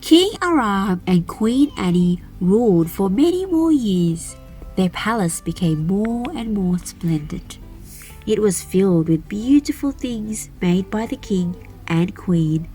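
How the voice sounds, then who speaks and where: A woman narrating a children's fairy tale aloud as a storyteller, in an expressive, deliberate manner. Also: mid-range voice, faint music behind, rough recording. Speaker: storyteller and channel host.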